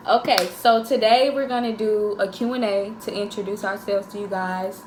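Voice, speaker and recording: A woman, chatting and telling stories in an animated way during a couple's Q&A. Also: mid-range voice, moderate pace, soft background music. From Jackson, Mississippi. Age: 20s. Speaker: co-host of a couple's channel. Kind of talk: casual Q&A chat, storytelling. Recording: echoey room.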